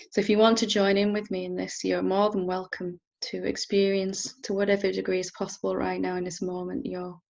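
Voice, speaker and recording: A woman teacher leading a guided meditation in a gentle, unhurried manner with long pauses. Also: fairly low pitch, gravelly, highs cut off. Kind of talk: guided meditation, softly instructing.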